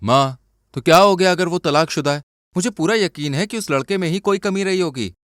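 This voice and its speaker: A man's voice: high voice, brisk, a bit bright, dry recording, clean studio sound. A man voicing a principled, forgiving young husband in a cartoon story, earnest and firm. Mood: protesting.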